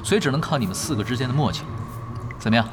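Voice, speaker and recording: A male actor as a self-assured gaming expert in an audio drama. He talks at a quick clip with lively inflection, casual and matter-of-fact, over a music bed. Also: mid-range voice, lightly acted. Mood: confident. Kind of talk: Chinese audio drama acting.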